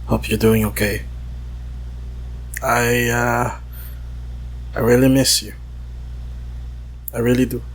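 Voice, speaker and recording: A man leaving an intimate, confessional message, halting and wistful, with long pauses. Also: mid-range voice, little pitch movement. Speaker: voicemail caller.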